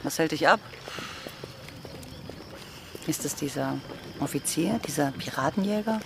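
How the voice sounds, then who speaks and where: An actress playing a tender, devoted female pirate weary of fighting, speaking gently and earnestly in a German radio drama. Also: sits low, animated, measured pace, vocal fry, background noise, heavily acted. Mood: concerned. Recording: studio radio play with prominent music.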